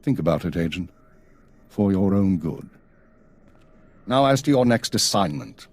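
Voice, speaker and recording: An actor playing a stern, weary intelligence chief in a video game, formal, clipped and measured. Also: male voice, sits low, animated. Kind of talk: video game cutscene acting.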